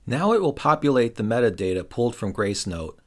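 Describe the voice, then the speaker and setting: A man's voice: medium pitch, very animated, a little fast. Middle-aged man narrating a product review. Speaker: reviewer and host. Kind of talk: scripted product review voice-over.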